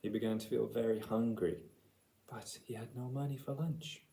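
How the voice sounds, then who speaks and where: A man reading a picture book aloud as storyteller, deliberate and dramatic in his delivery. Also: mid-range voice, steady tone, gravelly, mellow. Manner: deliberate, dramatic, with repeated phrases.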